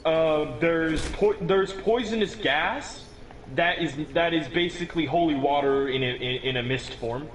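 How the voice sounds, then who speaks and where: A man's voice: fairly high pitch, measured pace, slight background noise, rough recording. Rambling teenage boy on a voice call. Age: teens. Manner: rambling, restarts often, chuckles at own remarks. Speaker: guest explaining a game build. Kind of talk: casual gaming chat.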